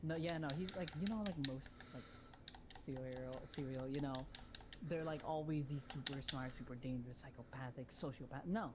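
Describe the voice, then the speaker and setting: A woman chatting casually on a live stream, rambling in an animated way, repeating phrases and swearing freely. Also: very deep voice, moderate pace, heavy background noise. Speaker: streamer telling friends a story idea. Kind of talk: casual chat on a voice call.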